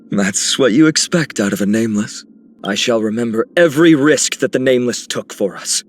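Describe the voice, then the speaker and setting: A man voicing a steady, sincere hero in scripted video game lines. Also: mid-range voice, a little slow. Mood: warm, sincere.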